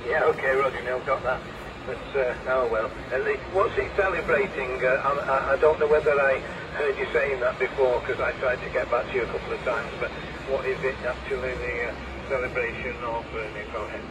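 Older male radio amateur, medium pitch, friendly and rambling, heard through a receiver at a distance under heavy noise. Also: fairly even intonation, fairly quick. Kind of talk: casual amateur radio chat. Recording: distant radio speaker in very noisy room.

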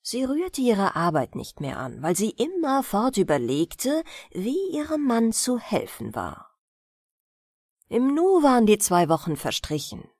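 A woman narrating a bedtime fairy-tale audiobook in an expressive, measured storytelling manner. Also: medium pitch, no room sound, studio quality.

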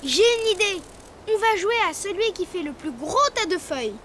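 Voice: very high voice, lively intonation, normal speed, a crowd in the background. An actor voicing a cheerful, helpful young rabbit who explains drawing step by step in a childlike way for a preschool cartoon. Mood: eager. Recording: music under the voice.

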